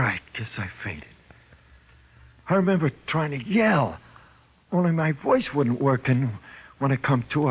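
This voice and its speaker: A man's voice: medium pitch, husky. An actor playing a frightened jailed gangster in an old-time radio crime drama, with slangy, ungrammatical tough-guy talk that cracks into pleading, on a muffled old recording. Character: frightened, panicky jailed gangster, afraid of the dark.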